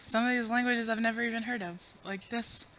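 Bright-voiced woman joking through a talk. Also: on the high side, fairly even intonation, a little fast. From Afghanistan. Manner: chatty, self-deprecating, joking asides. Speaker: conference speaker. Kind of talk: conference talk with audience polls. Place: conference room with audience. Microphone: podium mic.

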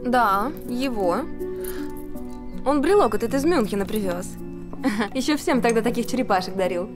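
Актриса играет язвительную сплетницу с высоковатым голосом. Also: female voice, fairly high pitch, expressive, moderate pace, over music, close to an everyday voice. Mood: chatty. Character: insinuating, gossipy theatre woman. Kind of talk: film drama dialogue.

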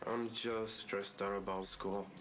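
Flat, low-voiced teenage guy. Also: measured pace, rough recording. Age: teens. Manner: subdued, regretful. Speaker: high school student. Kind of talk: reflective interview confession. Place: school room with whiteboard.

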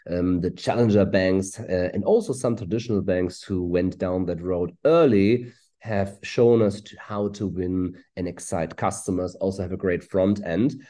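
A man in his forties with a German accent answers interview questions over a video call. His voice is low and mellow, and he speaks quickly, with halting fillers between his points. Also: steady tone. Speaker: guest author. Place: room with purple lighting.

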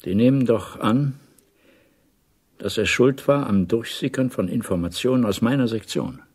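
An actor playing a guarded middle-aged intelligence officer in a 1980s radio play, measured, probing and quietly insistent. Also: male voice, medium pitch, husky, natural voice.

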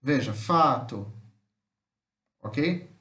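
A man with a Brazilian Portuguese accent giving an informal lesson, reading aloud and explaining haltingly, often checking that listeners follow. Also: mid-range voice, lively intonation, a bit bright. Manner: halting, repetitive, frequent tag questions to check understanding. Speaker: language teacher. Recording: roomy, echoing voice-over.

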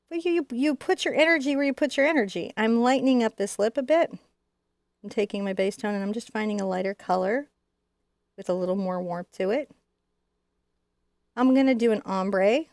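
A woman's voice: medium pitch, darkish tone, no room sound. A middle-aged woman chatting informally and digressively as she teaches painting in an indoor studio. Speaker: host teaching a live painting class. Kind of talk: casual chat and painting demonstration.

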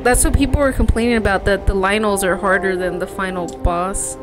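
A woman's voice: mid-range voice, steady tone, brisk, music in the background. A young woman chatting casually while she plays a video game, exclaiming and grumbling playfully. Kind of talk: casual chat while gaming.

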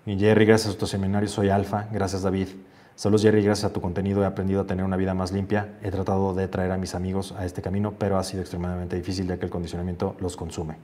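A man's voice: sits low, monotone, rapid-fire, warm tone. A man in his forties hosting a casual stream from a home office, reading viewer comments aloud and musing on them earnestly. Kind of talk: casual livestream chat. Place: home office with shelves, indoors.

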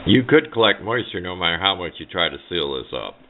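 A man's voice: deep voice, sing-song, a little fast, slight background noise. A man giving a casual hands-on how-to demonstration in a plain-spoken, rambling, offhand way. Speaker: hobbyist presenter. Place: indoors at a workbench. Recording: tinny, band-limited camera microphone sound.

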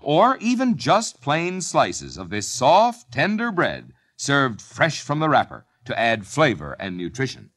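A man delivering a 1950s radio commercial in a steady selling tone. His pitch is medium and swoops widely through every phrase. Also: a little slow, few pauses. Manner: warm selling pitch, very sing-song.

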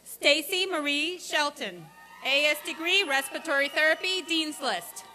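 A woman's voice: high-pitched, expressive, normal speed, pushed and bright. A woman formally reading a roll of graduates as program director at a commencement ceremony. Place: outdoor college commencement ceremony.

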